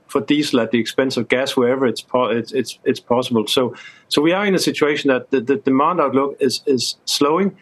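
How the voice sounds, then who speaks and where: A man giving analysis as a guest in a live interview, halting and repeating words as he goes. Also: medium pitch.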